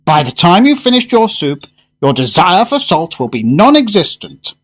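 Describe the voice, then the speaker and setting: An actor playing a fussy, fervent gourmet in a radio drama, speaking in grandiose, emphatic phrases. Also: male voice, high-pitched, big pitch swings, moderate pace. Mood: earnest, lecturing. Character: fussy, fervent gourmet diner, a well-spoken man.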